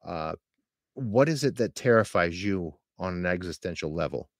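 Male podcast host, deep and dry-miked, earnest and rambling. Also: expressive, moderate pace, no echo. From Pennsylvania. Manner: rambling, earnest, many restarts. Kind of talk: casual interview.

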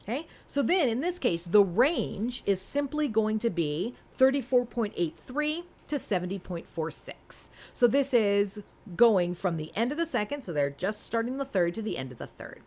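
A woman in her forties with very lively, swinging intonation and a mid-range voice. She explains things step by step like an instructor, in a conversational, emphatic way, putting questions to the learner as she goes. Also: mid-range voice, moderate pace. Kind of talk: informal screen-recorded lesson, explaining step by step.

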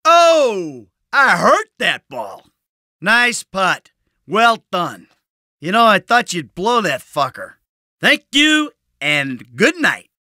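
Video game role: weary middle-aged American ex-crook, sarcastic and short-fused, forceful bright tone, unhurried delivery. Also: male voice, high-pitched, huge pitch swings, no room sound, clean studio sound, full character voice. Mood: gloating. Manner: sarcastic, grumbling, foul-mouthed. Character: weary, sardonic, hot-tempered middle-aged retired male criminal. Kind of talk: acted video game voice lines.

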